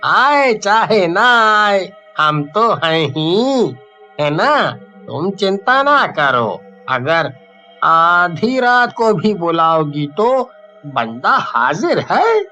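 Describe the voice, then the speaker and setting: A man's voice: sits high, very animated, drawn-out words, bright, forceful tone, faint music behind, heavily acted. A man voicing a flirtatious, greedy village milkman with a leering drawl in a storybook tale. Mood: flirty, smug.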